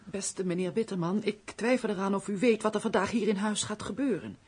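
An actress playing a gentle, melancholy castle housekeeper in a Dutch radio play, speaking in an earnest, refined, pleading manner. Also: female voice, medium pitch, fairly even intonation, brisk, breathy edge, lightly acted. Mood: polite, composed.

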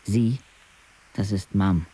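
A man's voice: on the low side, takes it slowly, dark tone, husky. An actor playing a sardonic paralysed teenage boy, narrating his life intimately in a dry, laconic way in a radio drama. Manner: dry, laconic, clipped phrases.

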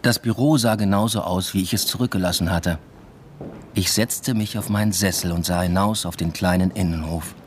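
First-person radio drama narrator, played by a man: low-pitched, introspective intellectual, subdued literary delivery. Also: fairly even intonation, normal speed, a little hiss or hum, lightly acted. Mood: measured, wry. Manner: subdued, reflective. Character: introspective male academic narrating his own love affair.

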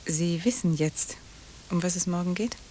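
A woman's voice: lowish voice, moderate pace, dark tone, close to an everyday voice. An actress playing an elegant, discreet society lady in a German radio play, poised, refined and formal. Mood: discreet, warning. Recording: hissy vintage radio drama recording.

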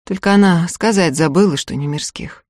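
A woman voicing a calm young peasant woman who speaks quietly and drawls. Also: fairly low pitch, moderate pace, no room sound, clean studio sound, natural voice. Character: calm pale young peasant woman Ustinya, accused witch.

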